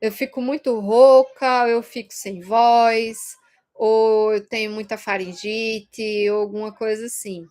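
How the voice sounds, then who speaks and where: A woman's voice: a little high, fairly even intonation, slow, darkish tone. A woman with a Brazilian Portuguese accent teaching an informal video lesson in a conversational way, often checking in with short tag questions. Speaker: Reiki course teacher.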